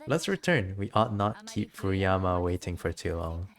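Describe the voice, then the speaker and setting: A woman voicing a dignified, reflective female shogun from a game in a formal, measured, solemn manner. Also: a bass voice, very animated, exaggerated voice. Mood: calm, formal.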